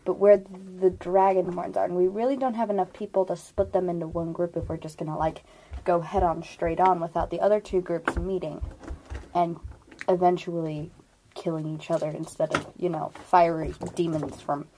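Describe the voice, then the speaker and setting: A woman's voice: fairly low pitch, level tone, normal speed, noisy background. A woman chatting casually as a player in a tabletop game.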